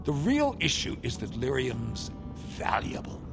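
An actor voicing a sly middle-aged male dwarf smuggler in a video game, making a wheedling sales pitch. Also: wildly swooping pitch, unhurried, vocal fry, exaggerated voice. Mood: curt. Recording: game audio with music behind.

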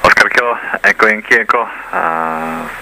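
Low voiced guy on airfield radio giving clearances, bit halting, pretty noisy transmission. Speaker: airfield radio information officer. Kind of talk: aviation radio communication.

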